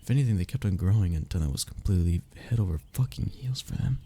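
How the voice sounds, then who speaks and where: A young man playing a wistful, lovestruck childhood best friend in an intimate audio roleplay, speaking in a halting, sighing, confessional way. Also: low voice, lively intonation, warm tone, husky, full character voice. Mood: tender, lovestruck. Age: young adult.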